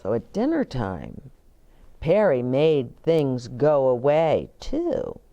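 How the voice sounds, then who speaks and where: An older woman reading a picture book aloud and speaking deliberately and simply, as if to small children. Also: rumbling low, huge pitch swings, mellow, highs cut off. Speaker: children's storytime reader and host. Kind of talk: expressive picture-book read-aloud with asides to young children. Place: indoor bookshop-like set with shelves, seated in armchair.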